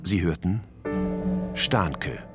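Male credits announcer: low voice, unhurried scripted read, prominent music. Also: animated. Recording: loud music under the voice.

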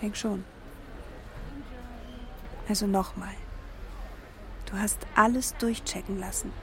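An actress playing a sober, pragmatic middle-aged wife in a naturalistic radio drama, giving terse, matter-of-fact replies. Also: female voice, mid-range voice, steady tone, slow pace, pushed and bright. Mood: sober, concerned. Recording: urban traffic ambience.

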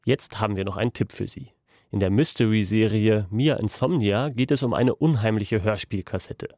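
A man's voice: fairly low pitch, moderate pace, darkish tone, dry recording. A man hosting a podcast, presenting a scripted recommendation to listeners. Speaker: podcast host.

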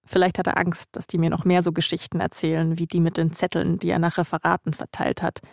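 A woman's voice: on the low side, monotone, fast talker, gravelly, darkish tone, dry recording, clean studio sound. A woman, speaking as a podcast host in narration and casual chat.

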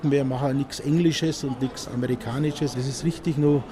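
A middle-aged man from Munich with a Bavarian accent, a bandleader chatting in a relaxed interview. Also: medium pitch, a little fast, a crowd in the background. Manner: relaxed, chatty, with tag questions. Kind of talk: casual interview. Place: outdoors at a festival ground. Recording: outdoor traffic noise behind.

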